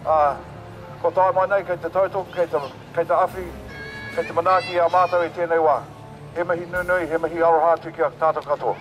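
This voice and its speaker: Hoarse New man on a megaphone. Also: on the high side, little pitch movement, fast, a bit bright, faint music behind, rough recording. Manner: rousing, repetitive, with fillers. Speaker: protest march speaker. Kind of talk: outdoor rally speech through a loudspeaker. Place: outdoors on a city street.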